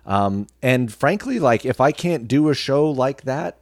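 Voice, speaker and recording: A man's voice: medium pitch, expressive, a little slow, no room sound, clean studio sound. A man who works as a radio personality and actor, chatting casually as an interview guest.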